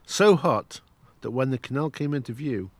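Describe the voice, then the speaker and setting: A man's voice: mid-range voice, animated, moderate pace, no echo, natural voice. A man playing the narrator of an audio drama, wryly recalling a boyhood escapade in scripted first-person storytelling. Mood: wry, reminiscent.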